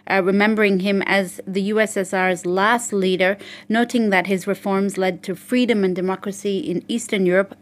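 Mid-pitched woman reviewing the newspapers. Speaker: press review journalist. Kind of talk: semi-scripted press review.

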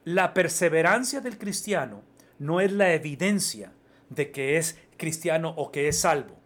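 A man's voice: a little high, a bit bright. A man preaching a sermon in Spanish, emphatic and repetitive, often checking in with his listeners. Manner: emphatic, repeats phrases, asks the congregation "¿verdad?". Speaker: pastor.

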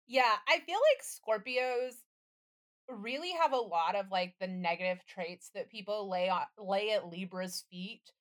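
A woman with a mid-range voice co-hosts a podcast. She talks in relaxed, conversational banter and phrases her takes as wry quips. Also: medium pitch, measured pace. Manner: wry, quippy. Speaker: podcast co-host. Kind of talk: casual banter.

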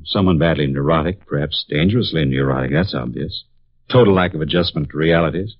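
An actor playing a brooding, cynical young psychology professor in a 1950s radio drama, speaking in a somber way. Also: male voice, rumbling low, brisk, no room sound. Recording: dull lo-fi 1950s radio broadcast.

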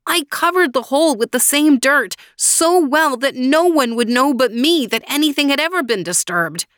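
Woman doing a grieving man, high strained voice, despairing, dry close sound. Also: expressive, unhurried, fairly bright tone, no echo. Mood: anguished. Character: grieving young man, anguished and pleading.

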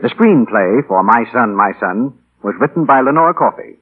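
A man reads credits as a formal old-time radio announcer. His voice is medium-pitched and husky. The recording is narrow and dated. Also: expressive, normal speed. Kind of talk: formal scripted 1940s announcing. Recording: thin old radio broadcast.

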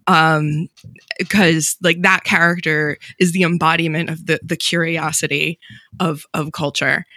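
Bright female voice, casual podcast cohost, gushy fan energy, stumbles over phrasing, very dry sound. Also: low voice, little pitch movement, measured pace, dry recording. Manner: hesitant phrasing, enthusiastic fan. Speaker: podcast co-host. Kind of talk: casual chat.

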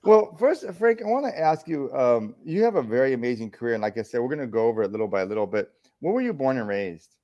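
Male host, animated, enthusiastic interviewer with an ordinary mid-range voice. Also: medium pitch, fast talker, muffled recording. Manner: enthusiastic, apologetic, eager questions. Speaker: podcast host. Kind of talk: interview hosting.

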